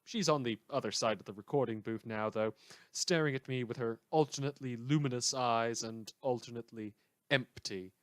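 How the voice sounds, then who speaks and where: Sardonic male community-radio newscaster, acted by a man, middle-pitched, wry and theatrical, rambling asides, very dry recording. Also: moderate pace, dry recording, natural voice. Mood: wry, mock-solemn. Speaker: radio news host. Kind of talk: satirical scripted radio news monologue.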